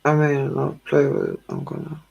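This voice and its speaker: A tired woman hosting a tarot livestream, chatting casually with her viewers in a slow, drowsy, calming way. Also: low-pitched, gravelly, breathy edge, dull audio.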